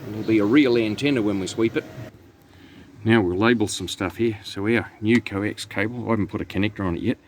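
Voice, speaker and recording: A man's voice: fairly low pitch, brisk. A man with a New Zealand accent giving a casual, matter-of-fact hands-on walkthrough as a technician. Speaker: radio technician narrating own repair video. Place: in and around a truck cab. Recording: clear background noise, vehicle ambience.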